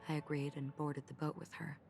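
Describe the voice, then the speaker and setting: A woman voicing a composed, melancholy traveler who recounts tales in a measured, poetic way in a video game. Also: sits low, level tone, gravelly. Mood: wistful, measured. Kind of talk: scripted video game dialogue, storytelling. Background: loud music.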